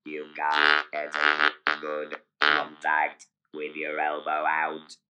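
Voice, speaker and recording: A man demonstrating speech through an electrolarynx, with a buzzing, mechanical, monotone voice. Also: extremely low pitch, very slow, highs cut off. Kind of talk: instructional demonstration. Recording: clearly noisy, with steady device buzz.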